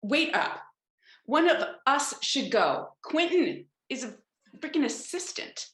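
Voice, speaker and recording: A woman's voice: high voice, big pitch swings, slow pace, a bit bright, exaggerated voice. A woman playing a snide, defiant executive in her late thirties, scornful and sarcastic, in a comedic table read. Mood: indignant. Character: snide, defiant 39-year-old female development executive. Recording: roomy home video-call audio.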